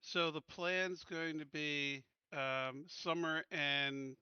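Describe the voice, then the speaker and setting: Bright, clear-voiced man playing a tabletop game. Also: on the high side, steady tone, drawn-out words, no room sound, highs cut off. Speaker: player in a tabletop roleplaying game. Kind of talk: casual game chat, planning tactics.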